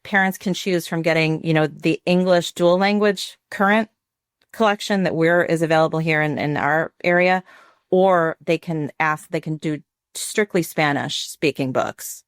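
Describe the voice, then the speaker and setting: Woman, American, notably low register, explanatory interview guest, very dry recording. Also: moderate pace, clean tone, no room sound. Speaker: podcast guest, library program director. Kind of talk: conversational podcast interview.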